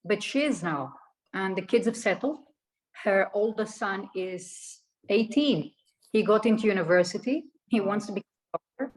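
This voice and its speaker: A woman's voice: medium pitch, lively intonation, normal speed, rough recording. A woman guest in an online panel discussion, telling a story earnestly over a video call.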